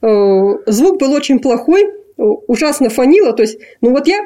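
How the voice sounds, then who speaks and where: A young woman from Kazakhstan with a mellow, slightly raised voice. She talks in a halting, thoughtful way, full of pauses and fillers, while answering an interviewer. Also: high voice, very animated. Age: young adult. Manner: hesitant, many fillers and pauses. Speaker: interviewee, PhD student. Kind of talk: casual conversation.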